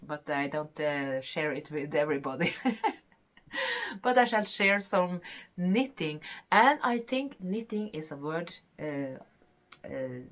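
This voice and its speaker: Norwegian lady in her 50s, slow halting chat, kinda low voice, lots of uhs. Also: sing-song. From Norway. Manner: hesitant, many fillers, laughs between phrases. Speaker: podcast host. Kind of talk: casual chat.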